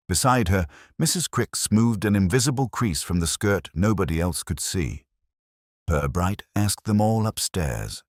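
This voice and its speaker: A man reading a scripted mystery story in a measured, literary storytelling manner. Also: low voice, dry recording, studio quality. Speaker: narrator.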